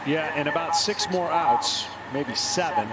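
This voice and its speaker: A man's voice: fairly high pitch, unhurried. A man with an American accent giving explanatory, analytical color commentary on a live baseball broadcast. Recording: very loud stadium noise behind.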